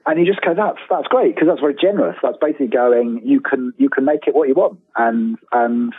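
A man speaking as an interview guest in casual talk, haltingly rephrasing and repeating words as he thinks aloud. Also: medium pitch, husky. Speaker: guest author and lifelong fan. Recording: tinny, roomy telephone-like line.

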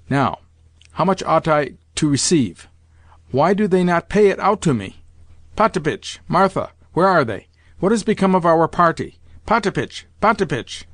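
A man's voice: on the high side, dry recording. A man voicing an imperious, impatient old grandmother in an audiobook, full of commands and exclamations. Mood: triumphant. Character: imperious, excitable old Russian grandmother addicted to roulette. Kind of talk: audiobook character voice.

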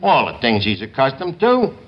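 Wisecracking tavern schemer, lively old-radio sound. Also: male voice, medium pitch, moderate pace. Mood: scheming. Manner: slangy, mangled grammar, sardonic. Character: wisecracking, scheming male tavern manager, slangy and sardonic. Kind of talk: 1950s radio comedy acting. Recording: noisy muffled 1950s radio broadcast.

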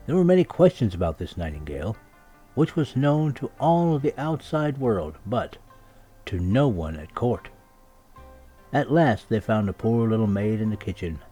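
A man narrating a children's story with expressive, scripted storytelling delivery. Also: on the low side, normal speed, breathy edge. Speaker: storybook reader. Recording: music laid behind the voice. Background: music and sound effects.

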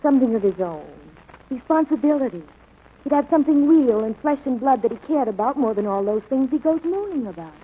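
An actress playing a patient, persuasive ranch wife and mother in 1940s radio drama style, gently insistent. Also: high voice, lively intonation, brisk, breathy edge, phone-quality sound. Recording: noisy old radio broadcast.

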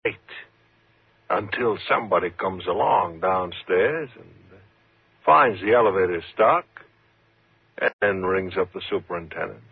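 An actor playing a coolly taunting, sinister night elevator operator in a 1950s radio thriller, laconic and ominous. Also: male voice, sits low, expressive, unhurried, creaky, husky, no room sound, full character voice. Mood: ominous, unhurried. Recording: muffled, tinny 1950s radio recording.

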